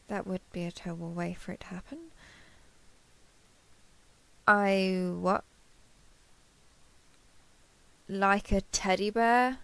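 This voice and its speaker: A woman's voice: medium pitch, vocal fry, a bit bright, no room sound. A woman playing a kindhearted, awkward, easily flustered human in an intimate roleplay audio, hesitant and stammering as she asks curious questions. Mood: flustered, shy. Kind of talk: intimate roleplay audio acting, one side of a conversation.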